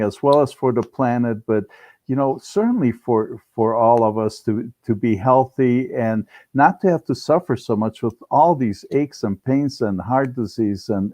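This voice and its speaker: Mellow older man, close dry sound. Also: medium pitch, no echo. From Romania-born, raised in Cleveland, US. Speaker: interview guest, business founder. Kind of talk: conversational interview talk.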